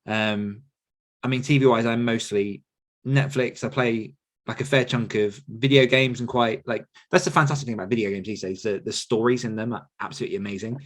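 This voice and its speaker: Guy in his 30s, chill rambly podcast chat, mid pitched, lots of ums and restarts. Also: fairly quick. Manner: relaxed, rambling with fillers and restarts, laughs often. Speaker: podcast host answering listener questions. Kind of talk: casual chat.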